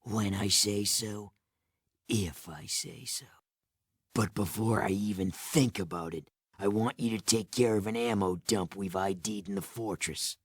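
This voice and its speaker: An actor playing a hardened, scornful underground resistance commander in a video game, with a low gravelly voice and a curt, commanding manner. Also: male voice, a little slow, dry recording, clean studio sound. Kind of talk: video game cutscene acting.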